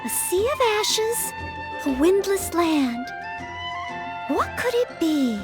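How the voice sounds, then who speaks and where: An actress playing an earnest, studious young maid knight in a video game, thinking aloud in polite, formal phrases. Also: female voice, very high voice, sing-song, slow, full character voice. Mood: pondering. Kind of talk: English video game voice acting. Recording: faint music behind the voice.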